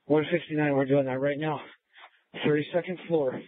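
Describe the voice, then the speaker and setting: A man's voice: on the high side, fairly even intonation, moderate pace. A male police officer making terse emergency calls over police radio. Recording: tinny narrow-band scanner radio.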